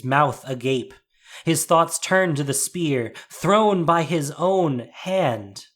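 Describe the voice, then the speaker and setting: A man reading a scripted fantasy story as narrator, with measured, deliberate, suspenseful phrasing. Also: mid-range voice. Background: soft music.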